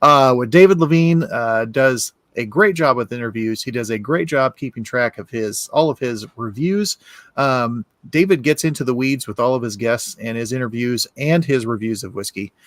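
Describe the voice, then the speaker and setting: A man's voice: mid-range voice, moderate pace, fairly bright tone, dry recording. Friendly rambling podcast co-host, man. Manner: friendly, rambling, with filler words. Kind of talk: casual podcast announcements.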